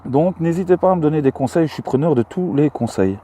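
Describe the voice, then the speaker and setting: A man's voice: a little high, expressive, fast, dark tone. A man with a Belgian French accent, chatting casually outdoors in a field with many hesitant fillers. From Belgium, Luxembourg province. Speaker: metal-detecting vlogger. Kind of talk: casual outdoor talk to camera. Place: outdoors in a mown meadow.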